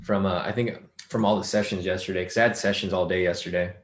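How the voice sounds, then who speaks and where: Man, low voice, live trading lesson host, losing his voice, roomy echo. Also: steady tone, fast talker. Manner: loose, filler-heavy live commentary, reading chat questions.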